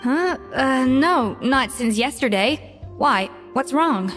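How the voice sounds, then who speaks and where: A woman playing a proud, short-tempered young chef in an anime game, with a sharp-tongued manner. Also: high voice, animated, takes it slowly, big-room sound, faint music behind, full character voice. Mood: puzzled.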